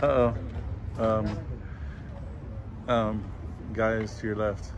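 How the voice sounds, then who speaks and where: A man's voice: on the low side, level tone, very slow. A man talking casually and informally in slang, as a vlogger filming out on the street. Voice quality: slangy, profane. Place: outdoors on streets near traffic. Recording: noisy outdoor street with traffic.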